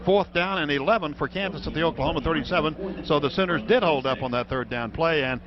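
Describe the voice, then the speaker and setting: High-pitched American football radio announcer, man. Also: quick pace, a crowd in the background. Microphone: broadcast booth microphone. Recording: dull radio broadcast, loud stadium background noise.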